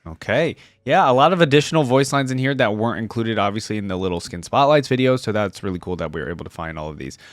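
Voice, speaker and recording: A man's voice: on the low side, lively intonation, fast, few pauses, no echo, clean studio sound. A man hosting a reaction video, commenting casually and musing aloud to his viewers.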